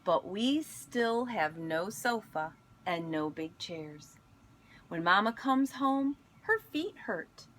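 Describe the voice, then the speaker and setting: A woman reading a picture book aloud in an expressive storytelling manner, pausing to speak to young listeners. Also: medium pitch, a little hiss or hum. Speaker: school instructional coach reading aloud.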